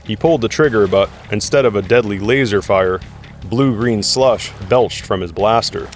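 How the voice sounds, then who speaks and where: A man's voice: lowish voice, normal speed, music in the background. A man reading dramatic scripted narration for an action audio drama.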